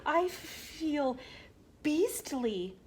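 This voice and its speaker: A woman voicing a pitiful storybook dragon who hisses and pleads haltingly. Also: high-pitched, big pitch swings, voice pushed up, full character voice. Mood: pitiful, pleading. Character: pitiful dragon, a transformed father pleading for help.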